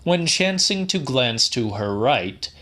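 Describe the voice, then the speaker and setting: A man narrating a literary audiobook in a slightly dramatic style, with deliberate, theatrical and precise phrasing. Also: mid-range voice, big pitch swings, sharp tone. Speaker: audiobook reader.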